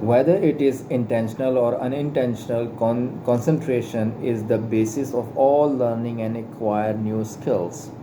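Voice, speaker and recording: A man's voice: medium pitch, measured pace, few pauses. A man whose first language is not English, carefully reading aloud from a spiritual book, stumbling and repeating words now and then. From Pakistan. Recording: steady air conditioner hum behind. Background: air conditioning hum.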